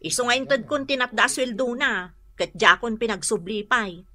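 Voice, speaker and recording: A woman's voice: a little high, normal speed, bright, forceful tone. A woman playing a strict, fed-up laundry shop boss in a radio drama, with an Ilocano accent and an emphatic manner. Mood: apologetic explaining. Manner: nagging, emphatic.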